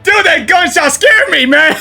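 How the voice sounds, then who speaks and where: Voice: very high-pitched, vocal fry, sharp tone. A game reaction creator giving casual, exclamatory, slangy commentary and talking back to the characters. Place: game audio playing behind the voice.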